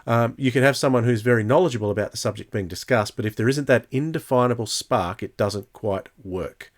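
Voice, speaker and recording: A man hosting a podcast in a casual, hesitant way, chatting and reading out comments in a halting delivery. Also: mid-range voice. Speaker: podcast host.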